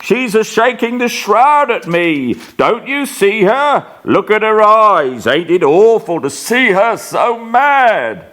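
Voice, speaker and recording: A man's voice: sits high, very animated, slow pace, few pauses, fairly bright tone, pitched above the performer's own voice, exaggerated voice. A man voicing a raving, terrified sick swindler who shrieks about a ghost, in a storybook reading. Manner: shrieking, panicked, wailing.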